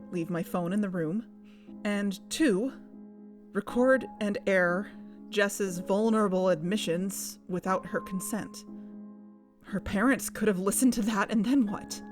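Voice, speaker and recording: An actress playing an earnest, uneasy young woman investigator, narrating her own story in a measured, confessional way for an audio drama. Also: medium pitch, close to an everyday voice. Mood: reflective, guarded. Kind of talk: scripted first-person audio drama narration.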